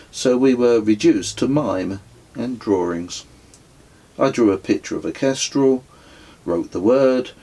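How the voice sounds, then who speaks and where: A man reading his own memoir as an audiobook, telling it in a measured, wry storytelling way. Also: lowish voice, a little mellow, breathy edge. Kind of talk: scripted audiobook narration.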